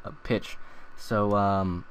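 Young male tutorial maker, low-ish voice, rambling with fillers, faint hiss. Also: steady tone, slow. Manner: rambling, many ums and uhs. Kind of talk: casual screen-recorded tutorial.

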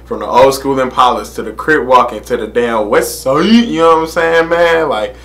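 A man's voice: medium pitch, normal speed, fairly bright tone. Laid-back young man reacting to music. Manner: laid-back, laughs often, repeats "man" and "you know what I'm saying". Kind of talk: casual reaction commentary. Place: small room indoors, plain wall behind.

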